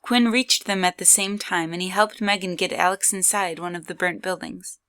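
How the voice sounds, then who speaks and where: A woman's voice: lowish voice, fairly even intonation, normal speed, fairly bright tone, clean tone. A woman reading scripted third-person story narration as the narrator of an audio drama.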